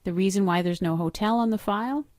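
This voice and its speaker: A woman's voice: medium pitch, fast, a little mellow, no echo. A middle-aged woman narrating a screen-recorded training walkthrough, explaining each step in a matter-of-fact instructional way. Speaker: software trainer.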